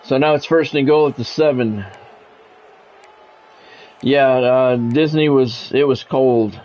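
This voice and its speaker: A man's voice: medium pitch, fairly even intonation, takes it slowly, a little mellow, poor audio. A middle-aged American man with a blunt, grumbling manner, switching between casual profane chat and sports play-by-play on a livestream. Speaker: game streamer and sports play-by-play announcer.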